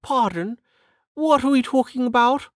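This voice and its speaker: A haughty noblewoman, pitched high and lilting with lively swings. She is prim, snobbish and easily testy, speaking in a very proper storybook manner. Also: normal speed, no echo, studio quality. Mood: casual, prying. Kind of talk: audiobook character acting.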